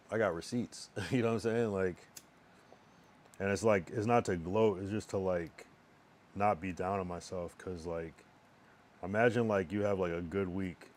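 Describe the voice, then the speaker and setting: A young man with a very low, worn-sounding voice rambles through a casual live stream, halting and restarting as he talks. He sits at a home streaming setup with his own microphone. Faint background noise hums underneath. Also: darkish tone. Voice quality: strained, worn voice.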